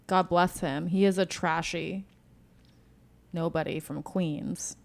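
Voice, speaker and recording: A woman with a slightly low voice and a strong creak speaks as a podcast co-host. She is blunt and opinionated, landing her points in short declarative phrases. The tone stays casual and conversational. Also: steady tone, slow. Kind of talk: casual conversational political chat.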